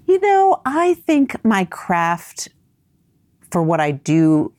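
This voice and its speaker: A middle-aged woman from Vietnam, raised in New York, answering interview questions in a thoughtful, measured way. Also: medium pitch, huge pitch swings, a little mellow, dry recording, studio quality. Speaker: guest entrepreneur. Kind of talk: podcast interview.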